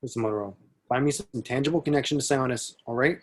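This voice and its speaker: A man's voice: medium pitch, fast talker, close to an everyday voice. Man reading stern, skeptical police captain. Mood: stern, dismissive. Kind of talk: amateur online table read.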